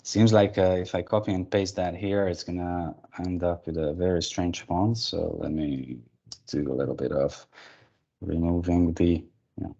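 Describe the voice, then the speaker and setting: A man from Hungary with a Hungarian accent, giving an informal tech presentation in a halting way with repeated words. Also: low voice, steady tone, dark tone. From Budapest, Hungary. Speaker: guest presenter of a lightning talk. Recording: dull, band-limited online call audio.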